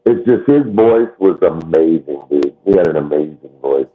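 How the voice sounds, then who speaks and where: A man's voice: extremely low pitch, lively intonation, normal speed, faint music behind. A man in his late forties chatting casually as host, laid-back and swearing now and then. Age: 40s. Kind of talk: casual chat. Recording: tinny phone-like line.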